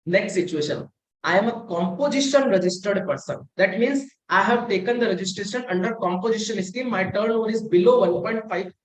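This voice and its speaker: A man's voice: high-pitched, fairly quick. Indian-accented male tutor for a live webinar, repetitive and methodical, roomy echo on the recording. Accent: Indian English. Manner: explains step by step with many repetitions, mixing in Hindi. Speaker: webinar instructor. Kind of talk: live online tax lecture. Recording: echoey room sound.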